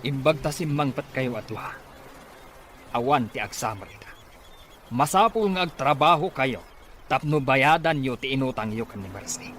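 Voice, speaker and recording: A man's voice: mid-range voice, animated, normal speed, fairly bright tone, slight background noise, natural voice. A man playing a stern, exasperated farming father in a radio drama, scolding emphatically. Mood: angry, scolding. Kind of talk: Ilocano radio drama acting.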